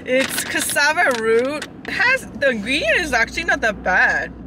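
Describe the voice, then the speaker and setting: A woman's voice: sits high, sing-song, moderate pace, far from the mic. Giggly woman chatting in a car. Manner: chatty, giggly, tasting and reacting. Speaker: vlogger. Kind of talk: casual car mukbang chat. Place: parked car, indoors. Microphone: camera on dashboard. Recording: loud car background noise.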